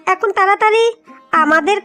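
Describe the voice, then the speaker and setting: A woman's voice: very high voice, normal speed, sharp tone, faint music behind. A woman voicing a feisty, proud young wife in a cartoon, with a firm, scolding way of talking. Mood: firm, defiant. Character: feisty, proud young wife defending her family home. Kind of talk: cartoon dialogue.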